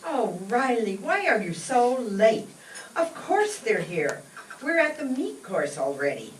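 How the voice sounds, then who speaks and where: A woman playing a fussing, hospitable housewife and mother in an old-time radio comedy, expressive and homely. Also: a little high, slow. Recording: roomy, distant stage pickup with faint noise.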